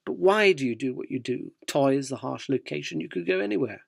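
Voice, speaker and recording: A man's voice: medium pitch, animated, brisk, creaky, no echo, studio quality. A man playing an earnest, inquisitive magazine reporter in a radio drama, narrating and questioning with a dryly descriptive manner. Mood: probing, curious.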